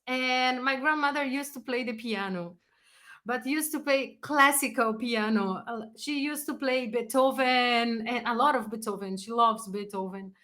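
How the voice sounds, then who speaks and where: A Brazilian woman, a native Portuguese speaker, telling personal stories in non-native English in an expressive, casual interview manner. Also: a little high, a little slow. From Brazil, raised partly in Chile. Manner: expressive, animated storyteller who acts out moments in non-native English. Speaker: musician and music teacher being interviewed.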